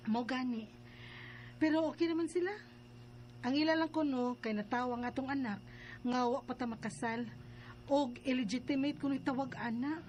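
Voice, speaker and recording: Woman acting a high-voiced, plaintive young mother. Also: moderate pace, pauses often, darkish tone, a little hiss or hum, lightly acted. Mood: concerned. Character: earnest, distressed young mother, bride-to-be named Eileen. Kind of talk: radio drama acting with narration.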